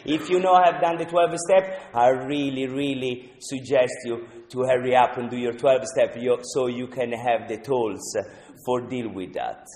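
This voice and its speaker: A man's voice: medium pitch, fairly even intonation, darkish tone. A man with a thick Italian accent speaks in a broken, earnest English, scattering "you know" as he talks to the group. His voice sits in a middle register, and it rings through a large reverberant hall from a little way off the mic. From Italy. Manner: halting non-native English, frequent "you know", earnest. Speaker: recovery meeting speaker. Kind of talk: personal share at a twelve-step meeting. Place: meeting room.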